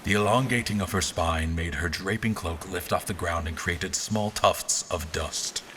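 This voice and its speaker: A man's voice: deep voice, measured pace, fairly bright tone, a little hiss or hum. An actor playing a formal male officer who recounts his memory in scripted, literary dramatic narration. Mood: grave, reflective.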